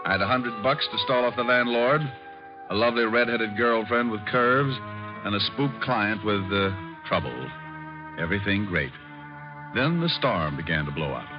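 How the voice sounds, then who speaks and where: Man playing a low-voiced wisecracking private eye. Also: normal speed, natural voice. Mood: wry narration. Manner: glib, sarcastic, flippant. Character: breezy, wisecracking male private detective. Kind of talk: 1940s-50s radio detective drama acting with narration. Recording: muffled old radio recording with music.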